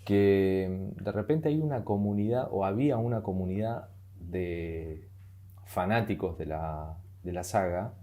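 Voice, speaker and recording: A man's voice: deep voice, unhurried, mellow. A man with an Argentine accent co-hosting a podcast in casual chat, hesitant and full of fillers and repeated words. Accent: Argentine Spanish. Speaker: podcast co-host.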